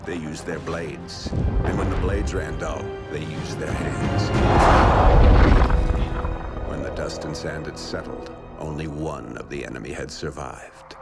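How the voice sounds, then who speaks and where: An actor playing a middle-aged, battle-hardened father, telling a war legend in a solemn, measured way as dramatic narration. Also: extremely low pitch, fairly even intonation, creaky, heavily acted. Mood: solemn, ominous. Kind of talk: dramatic scripted storytelling narration. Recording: loud music under the voice.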